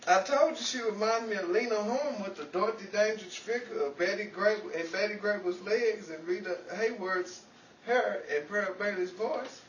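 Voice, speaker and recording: Man playing a bright, excitable hapless radio fellow. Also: sits high, normal speed, far from the mic. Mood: defensive, loud. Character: hapless, excitable fast-talking man in 1940s radio comedy. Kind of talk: 1940s radio comedy acting. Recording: dull, roomy, off-mic with faint noise.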